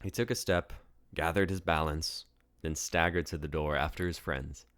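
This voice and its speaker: A man with a deep voice narrates fiction as an author reading his own book. The delivery is scripted storytelling. The recording is very dry, with little room sound. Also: fairly even intonation, normal speed, fairly bright tone, no echo.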